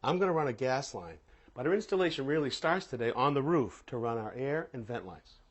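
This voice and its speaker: A man's voice: mid-range voice, lively intonation, brisk. A plain-spoken man hosting a home improvement show, explaining hands-on work step by step in a conversational way. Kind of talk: hands-on how-to demonstration, conversational.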